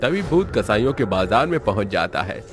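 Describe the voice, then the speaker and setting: A man narrating a cartoon story in a steady storytelling manner. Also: lowish voice, normal speed, faint music behind.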